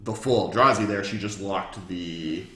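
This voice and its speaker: A man's voice: deep voice, moderate pace, a bit bright. A man in a casual, explanatory chat, speaking as a guest who explains game lore. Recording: echoey room.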